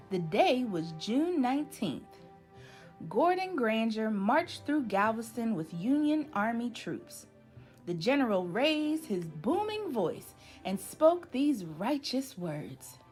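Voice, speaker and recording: A woman reading a children's storybook aloud as scripted narration, with expressive, deliberate phrasing. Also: a little high. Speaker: children's book reader.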